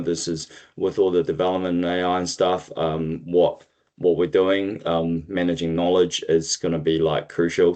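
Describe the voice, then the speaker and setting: A man's voice: sits low, darkish tone. A man in his forties giving a loose, chatty introduction of himself. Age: 40s. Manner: loose, chatty, slightly halting. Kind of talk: casual self-introduction.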